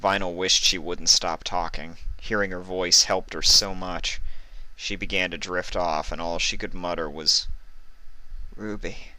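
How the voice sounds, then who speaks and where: Male story narrator: low, bright and forceful voice, scripted read, dry close sound. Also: steady tone, a little slow, no room sound, poor audio. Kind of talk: scripted fan fiction narration.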